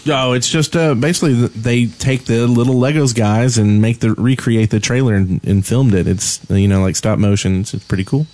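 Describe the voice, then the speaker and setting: A man's voice: mid-range voice, moderate pace, few pauses, a little mellow. A man co-hosting a podcast, chatting casually. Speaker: podcast co-host. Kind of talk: casual chat. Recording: clearly noisy background with clicks.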